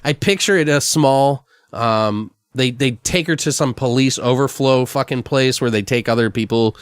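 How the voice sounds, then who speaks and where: A man's voice: medium pitch, moderate pace, clean tone, no echo. A man hosting a podcast, thinking aloud in a casual, rambling, sweary way. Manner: rambling, profane. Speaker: podcast host. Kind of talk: casual improvised brainstorming chat.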